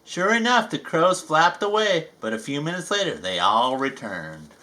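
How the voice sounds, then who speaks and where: A man's voice: on the high side, big pitch swings, moderate pace, pushed and bright, slight background noise. A man reading a children's storybook aloud, folksy and playful, with chatty asides. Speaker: storybook reader.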